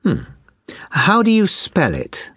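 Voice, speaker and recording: A woman with a low, husky voice plays a speaking-test examiner, asking set questions. Her pitch swings widely. She talks slowly and carefully, recorded close and dry. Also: huge pitch swings, fairly bright tone, no echo. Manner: slow, clearly spaced questions. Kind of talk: scripted exam interview.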